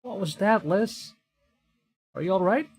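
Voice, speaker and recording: A man's voice: high-pitched, lively intonation, a little slow, voice pushed up. A man voicing a frantic, protective friend in a horror visual novel. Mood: alarmed.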